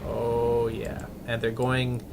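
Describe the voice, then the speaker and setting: A man casting a strategy game replay, halting with fillers and restarts and breaking into bursts of excitement. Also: mid-range voice, creaky, a little hiss or hum. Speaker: game commentator. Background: background music and keyboard typing.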